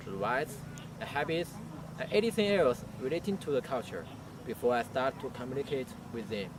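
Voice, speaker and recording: A young male university student, a native Chinese speaker, giving hesitant prepared answers with many fillers. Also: medium pitch. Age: young adult. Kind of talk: prepared answers for a language project. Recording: distant mic, street noise behind.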